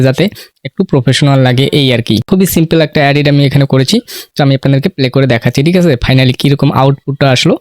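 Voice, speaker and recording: A man hosts a casual how-to tutorial at a quick clip. He explains step by step in an informal way and keeps checking that listeners follow. His voice is recorded dry. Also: a little high, no room sound. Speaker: tutorial host.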